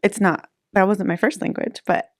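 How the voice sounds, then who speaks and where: A woman's voice: medium pitch, fairly even intonation, moderate pace, dry recording, clean studio sound. A woman in her thirties, a real estate agent chatting casually in an interview, laughing easily between fillers. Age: 30s.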